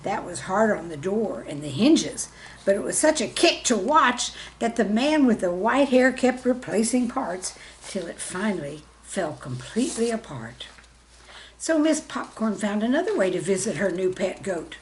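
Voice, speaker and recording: A woman's voice: medium pitch, sing-song, normal speed, a little hiss or hum, poor audio. A woman reading a children's story in a simple, friendly way, as if speaking directly to young listeners. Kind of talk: gentle scripted storytelling for children.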